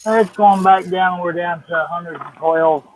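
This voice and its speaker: A man chatting casually on a live stream and reading viewers' comments, over muffled, low-fidelity audio. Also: steady tone, a little slow, slight background noise. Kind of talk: casual chat.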